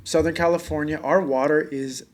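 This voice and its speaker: A male podcast host with a fairly high voice leads the chat in a loose, easygoing way. He rambles and fills gaps with "you know" as he sets up his questions. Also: fairly even intonation, a little fast. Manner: loose, rambling with many fillers. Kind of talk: casual interview chat.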